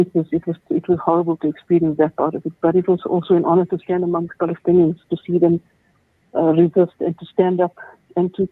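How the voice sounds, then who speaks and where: A woman's voice: on the low side, slightly rough, no room sound. Woman on a tinny line. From South Africa. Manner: halting, many restarts and repeated words. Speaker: guest. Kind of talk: personal testimony in an interview. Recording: tinny dry phone-like line.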